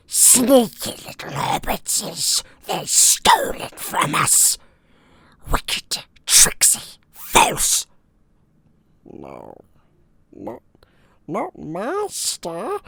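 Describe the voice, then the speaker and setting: A man voicing a wretched, split-minded cave creature with a raspy, hissing, creaky voice, by turns wheedling and spiteful. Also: medium pitch, pushed and bright, no echo, full character voice. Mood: frightened, pleading. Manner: slow muttering, swinging between whimper and shriek.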